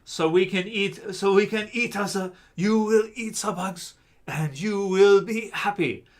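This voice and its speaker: A man putting on a smug male official with a bungled mock German accent, gloating in halting broken English. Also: sits high, voice pushed up.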